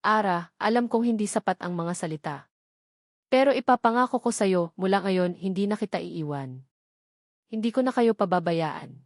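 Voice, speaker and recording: A woman's voice: mid-range voice, normal speed, dry recording, studio quality, lightly acted. A woman voicing an anguished, defiant rich young man in an audio drama story. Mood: remorseful, tender.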